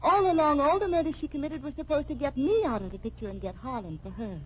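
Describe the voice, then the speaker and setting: A woman's voice: high-pitched, expressive, fast. A woman playing a cool, accusatory wife in a vintage radio mystery drama. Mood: cool, insistent. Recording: dull, noisy old radio recording.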